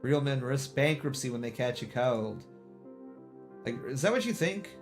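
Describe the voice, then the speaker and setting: A man's voice: mid-range voice, lively intonation, moderate pace, faint music behind. A man streamer chatting casually with his viewers in a rambling, defiant way, with lots of "like" and restarts.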